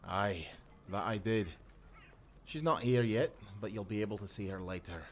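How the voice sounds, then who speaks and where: A man playing a kindly old seafaring grandfather in an audio drama, speaking calmly and reassuringly. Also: fairly low pitch, normal speed, a little hiss or hum, close to an everyday voice. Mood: warm. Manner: calm, reassuring, folksy. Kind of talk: cinematic audio roleplay acting.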